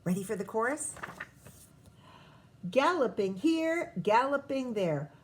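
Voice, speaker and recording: A woman teacher reading a picture book aloud to children, expressive and often pausing to prompt young listeners with questions. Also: on the high side.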